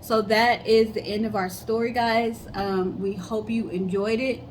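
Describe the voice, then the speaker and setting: A woman's voice: medium pitch, fairly even intonation, a little slow, slight background noise, low-quality recording. A woman co-hosting a casual storytelling show, chatting conversationally and speaking straight to viewers. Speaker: co-host of a storytelling guessing show.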